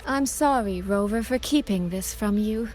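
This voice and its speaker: A woman playing a composed, secretive young elder sister in a video game, speaking in a measured, playfully teasing way. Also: mid-range voice, a little mellow, natural voice. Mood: calm, sincere. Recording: music behind the voice.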